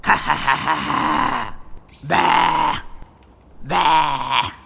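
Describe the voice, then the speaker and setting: A woman voicing a sneaky, greedy hyena who poses as a teacher in a children's cartoon story, with a scheming, drawn-out delivery. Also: deep voice, steady tone, gravelly, sharp tone, husky, heavily acted. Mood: gloating, villainous. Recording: music under the voice.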